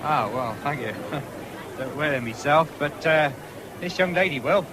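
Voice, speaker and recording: A man's voice: fairly high pitch, animated, measured pace. Easygoing young English countryman, noisy recording. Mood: cheerful, rueful. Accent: rural English. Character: easygoing, free-spending young countryman courting at a fair. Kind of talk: 1970s radio drama acting. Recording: noisy old radio broadcast, fairground din.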